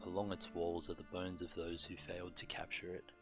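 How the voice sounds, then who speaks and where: A man streaming a game, commenting in a halting, matter-of-fact way and reading story text aloud. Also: sits low, completely flat delivery, over music.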